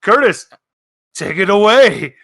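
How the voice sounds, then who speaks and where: A man's voice: high voice, expressive, takes it slowly, fairly bright tone, dry recording, studio quality. A man acting as game master, casually narrating a tabletop game.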